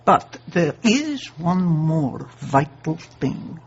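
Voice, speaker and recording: A woman playing a cold, calculating Soviet intelligence colonel in a radio drama, formal, controlled and menacing, with a husky voice. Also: low-pitched, big pitch swings, drawn-out words, dull audio, full character voice.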